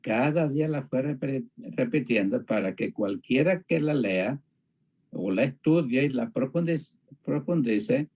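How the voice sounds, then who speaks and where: Man, mellow voice bit husky, thinking out loud and repeating himself to make the point. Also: medium pitch, animated, highs cut off. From Panama. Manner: halting, repeats phrases for emphasis. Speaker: discussion partner on a religious show. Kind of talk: reflective conversational explanation.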